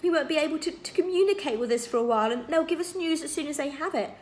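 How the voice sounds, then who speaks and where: A woman's voice: medium pitch, lively intonation, quick pace, lightly acted. A woman voicing an anguished, self-doubting young teenage girl in a storybook, with a trembling, emphatic delivery. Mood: tearful, miserable.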